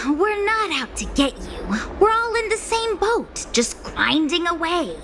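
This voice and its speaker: A persuasive, world-weary young female worker in a video game. Her high voice moves animatedly as she coaxes with rhetorical flair. There is noticeable ambient noise behind her. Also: slow pace, full character voice. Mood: weary, conciliatory. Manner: rhetorical, coaxing, expressive. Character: earnest, persuasive young working woman, world-weary but sympathetic.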